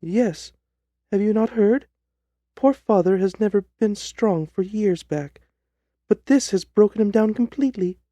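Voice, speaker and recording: A man voicing an anxious, pleading young gentlewoman in an audiobook story. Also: high-pitched, unhurried, dry recording, studio quality, pitched above the performer's own voice. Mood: distressed, sorrowful. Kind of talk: audiobook character reading.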